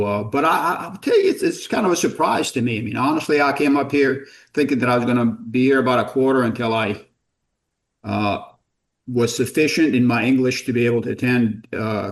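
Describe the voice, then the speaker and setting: A middle-aged man from Jordan, a company founder as podcast guest, telling stories in a rambling, self-deprecating way with many false starts. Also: mid-range voice. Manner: rambling, self-deprecating, repeats words when starting sentences. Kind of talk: casual storytelling interview.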